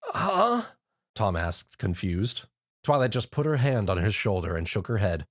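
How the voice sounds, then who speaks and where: A man reading fan fiction aloud with lively, expressive narration. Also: fairly low pitch, normal speed. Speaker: reader.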